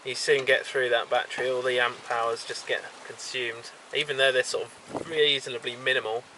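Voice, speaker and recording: Guy vlogging from his sailboat, lots of ums, wind and waves loud behind him. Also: medium pitch, little pitch movement, poor audio. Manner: halting, lots of ums and restarts. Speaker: solo sailor vlogger. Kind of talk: casual video diary. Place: outdoors on a small sailboat at sea. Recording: wind and boat noise. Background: wind, water and boat sounds.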